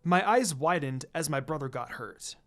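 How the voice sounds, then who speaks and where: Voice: mid-range voice, expressive, moderate pace, a bit bright. A narrator reading a scripted first-person story aloud, acting out bits of dialogue along the way. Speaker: fan fiction narrator.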